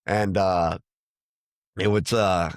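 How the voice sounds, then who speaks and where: A man's voice: low-pitched, steady tone, slow, dry recording, clean studio sound. A man whose first language is Spanish, speaking English with a Venezuelan-tinged accent, telling stories in a rambling casual chat with lots of restarts and quoted dialogue. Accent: Venezuelan Spanish-tinged American English. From United States. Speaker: podcast guest. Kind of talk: casual conversation.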